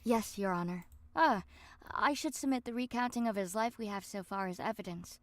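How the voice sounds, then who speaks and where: A woman's voice: mid-range voice, normal speed, noisy background, close to an everyday voice. A woman plays a dutiful female court assistant in a visual novel. She speaks politely and in an orderly way, in a mid-range voice. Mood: businesslike.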